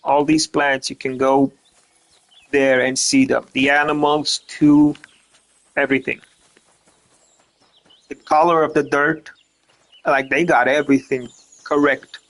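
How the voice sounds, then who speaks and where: A streamer talks through his gameplay in a flat, even voice. He rambles, restarts his sentences over and over, and swears without a second thought. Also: mid-range voice, measured pace, pauses often, low-quality recording. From Romania. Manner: rambling, stumbles over repeated words, swears casually. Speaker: gaming streamer. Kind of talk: casual gameplay commentary.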